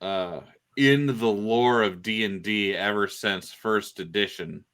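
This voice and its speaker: Man, lowish voice, unhurried, halting, casual explainer, podcast panelist. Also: fairly bright tone, smooth. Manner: halting, pauses mid-phrase.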